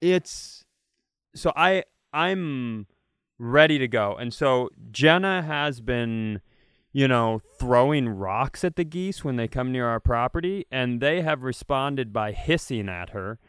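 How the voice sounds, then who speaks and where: A man's voice: medium pitch, expressive, takes it slowly, a bit bright, smooth, no room sound, studio quality. An American man from Minnesota telling stories casually as a comedy podcast co-host, ranting in comic exasperation and acting out quotes. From Minnesota, United States.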